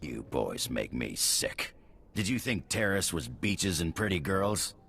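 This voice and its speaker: Gruff, deep-voiced mercenary boss. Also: male voice, sing-song, unhurried, fairly bright tone, slight background noise, full character voice. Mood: scornful. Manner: sneering, taunting, blunt. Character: gruff, scornful male boss of hired mercenaries. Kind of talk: video game character acting.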